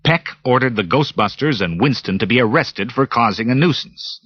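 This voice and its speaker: A man narrating a storybook read-along with dramatic, expressive delivery. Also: mid-range voice, normal speed, husky, no echo. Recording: lo-fi cassette with loud hiss.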